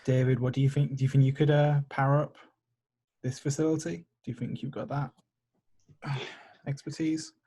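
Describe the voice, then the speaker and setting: A man's voice: medium pitch, flat delivery, normal speed, long pauses, warm tone, breathy edge. A man playing a tabletop game, discussing plans casually and often posing questions.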